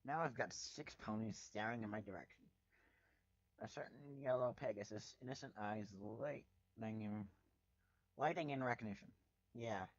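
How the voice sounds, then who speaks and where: A man reading fan fiction aloud as a dramatic first-person narrator, with a wry, expressive delivery. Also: lowish voice, normal speed, dry recording, highs cut off.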